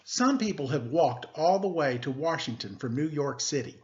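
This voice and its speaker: A man speaking as an elementary teacher, reading aloud to pupils with deliberate emphasis and informal asides. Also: on the high side, lively intonation, lo-fi sound, slight background noise. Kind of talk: read-aloud lesson with casual asides.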